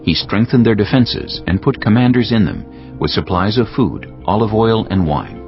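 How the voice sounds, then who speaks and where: A man narrating dramatized scripture as a measured storyteller. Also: fairly low pitch, animated. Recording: slightly dull sound, music behind.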